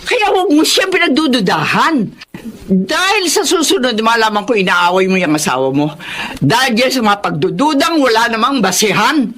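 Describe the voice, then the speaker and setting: A woman's voice: a little high, sing-song, moderate pace, fairly bright tone. An actress playing a nagging older Filipino mother-in-law in a radio drama, scolding and sarcastic. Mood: mocking, warning.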